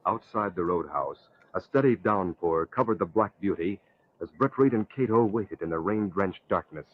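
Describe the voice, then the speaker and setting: A man's voice: deep voice, expressive, normal speed. A man narrating a scripted story as an announcer in 1940s radio style. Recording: tinny, faintly noisy old radio recording.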